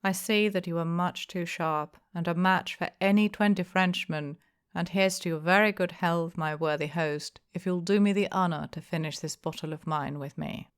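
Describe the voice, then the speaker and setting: A woman voicing a courteous gentleman stranger in an audiobook, quiet and polished, with gently mocking politeness. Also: lowish voice, steady tone, moderate pace, clear, resonant tone, natural voice. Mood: smooth, cheerful.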